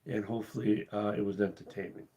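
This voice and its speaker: A man hosting his cruise channel live, losing his voice and talking in a halting, casual way with many pauses and restarts. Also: lowish voice, fairly even intonation, mellow. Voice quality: husky. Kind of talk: casual live-stream talk.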